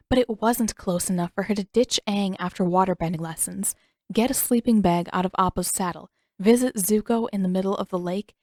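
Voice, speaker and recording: A woman narrates fiction in an expressive storytelling style. Her voice sits at an average pitch for a woman, and the sound is dry, with hardly any room on it. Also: no echo. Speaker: podfic narrator reading fan fiction. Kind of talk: scripted audiobook narration.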